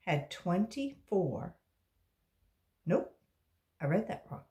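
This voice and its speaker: A woman reading a children's story aloud as a volunteer reader for young listeners. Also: fairly low pitch.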